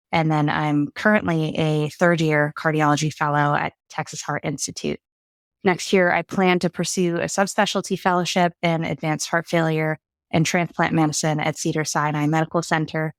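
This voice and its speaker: A woman with a notably deep voice talks in a relaxed, conversational manner. Her voice is close and dry, with no room sound around it. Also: no room sound. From United Kingdom, raised in Canada. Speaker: cardiology fellow, guest.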